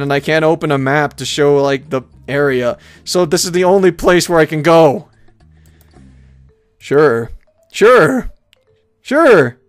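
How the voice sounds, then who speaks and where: A man's voice: fairly high pitch, clear, resonant tone, no echo. A young man giving casual, hesitant, rambling gameplay commentary as a let's player, easily amused by what he plays. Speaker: video game let's player. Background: game music.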